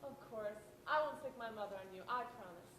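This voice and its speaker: A woman's voice: on the high side, brisk, fairly bright tone, lightly acted. A young woman playing a candid, teasing teenage girl on a date in an amateur stage play. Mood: frank. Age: young adult. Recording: distant echoey stage recording with hiss.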